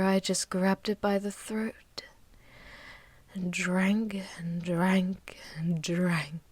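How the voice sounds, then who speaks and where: A woman playing an ancient, possessive vampire mistress in an intimate ASMR roleplay, speaking softly and teasingly with frequent drops into a whisper, close to the microphone. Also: medium pitch, dry recording. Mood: wistful, sinister. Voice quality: soft, breathy, often dropping to whispers. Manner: slow, teasing, haughty, commanding. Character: ancient, possessive, ruthless yet seductive female vampire mistress. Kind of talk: intimate ASMR audio roleplay, monologue to listener.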